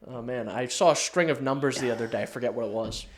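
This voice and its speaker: A man's voice: medium pitch, fast talker. A man in his early twenties co-hosting a podcast, joking casually with a friend in profane, slangy talk. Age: early 20s. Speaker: podcast co-host.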